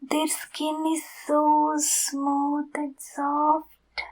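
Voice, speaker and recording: High, unhurried female voice for ASMR roleplay: actress as a lovesick stalker fan, soft and cooing, sweetness with menace underneath. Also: monotone, low-quality recording. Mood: adoring, hushed. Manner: soft, cooing, slow and deliberate, often breathy whispering. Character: obsessed flirty yandere woman fan, sweetly menacing stalker. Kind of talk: intimate ASMR roleplay acting.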